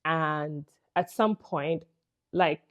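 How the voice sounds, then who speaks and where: Chatty Nigerian woman vlogger, lowish voice. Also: extremely slow. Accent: Nigerian English. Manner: chatty, frequent "like" and "you know", laughs between phrases. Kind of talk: casual personal storytelling to camera.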